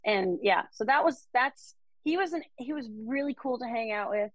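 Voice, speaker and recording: A woman's voice: fairly high pitch, expressive, moderate pace, no room sound. A woman from Denmark, a country singer-songwriter, chatting enthusiastically about artists she has opened for in a casual interview.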